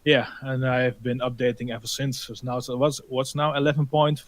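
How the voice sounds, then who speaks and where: A man with a Dutch accent, a guest studio engineer speaking casually, halting with fillers. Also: medium pitch, level tone, dry recording. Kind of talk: casual chat.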